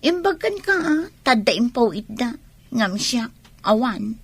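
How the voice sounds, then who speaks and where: An actress with an Ilocano Filipino accent playing a self-centred, demanding young college student with a husky voice in a radio drama. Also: female voice, a little high, very animated, measured pace, exaggerated voice. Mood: fretful.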